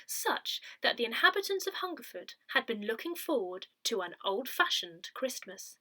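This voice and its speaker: A woman reading a newsletter aloud as scripted narration, in a measured, clearly phrased way. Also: a little high, a bit bright. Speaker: reader of an audio newsletter.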